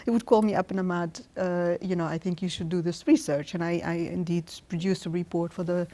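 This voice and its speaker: A woman's voice: fairly low pitch, fairly even intonation, brisk, mellow. Middle-aged female professor, Tunisian-born, reflective interview answers, hesitant delivery. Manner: thoughtful, hesitant with restarts. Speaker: guest professor.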